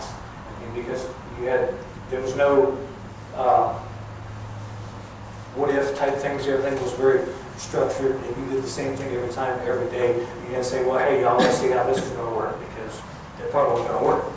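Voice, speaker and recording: A man's voice: medium pitch, little pitch movement, normal speed. Older man speaking in a very echoey hall. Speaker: guest speaker, former air traffic controller. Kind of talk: informal public talk recounting career. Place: large echoey museum room. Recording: echoey hall, distant mic, clear background noise.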